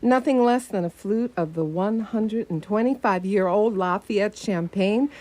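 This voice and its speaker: A woman's voice: medium pitch, unhurried, a little mellow, lightly acted. A woman acting in a radio play as a witty, flirtatious lady doctor with formal, playful phrasing. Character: witty, flirtatious, poised female doctor detective's companion. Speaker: actor in a community radio play.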